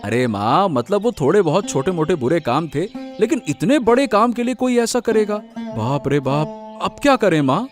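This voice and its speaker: A man's voice: high-pitched, very animated, normal speed, soft background music. A man voicing a humble, naive, dejected young vegetable seller in a moral-story cartoon. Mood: puzzled, worried.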